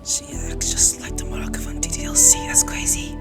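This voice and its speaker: A man giving casual reactions to a video game as he plays. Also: brisk. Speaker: gamer reacting to game scenes. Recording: loud game music over voice.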